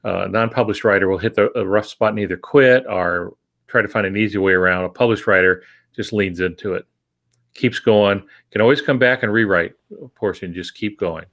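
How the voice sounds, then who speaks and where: Low-pitched older man, gravelly, measured. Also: a bit bright. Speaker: podcast co-host and veteran writer. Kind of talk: reflective advice on a podcast.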